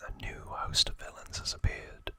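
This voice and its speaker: A man in his thirties whispering in a breathy voice, telling a story as an ASMR host in short phrases with pauses. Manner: slow, deliberate, short phrases with long pauses.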